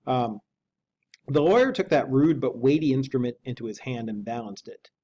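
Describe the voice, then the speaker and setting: A man's voice: medium pitch, animated, moderate pace, dark tone, breathy edge. A man reading a novel aloud as an English teacher and explaining it in a casual, conversational way.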